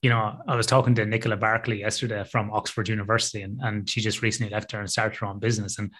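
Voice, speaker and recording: Fast-talking male podcast host. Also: on the low side, flat delivery, a bit bright, breathy edge. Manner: joking, teasing. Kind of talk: casual interview chat.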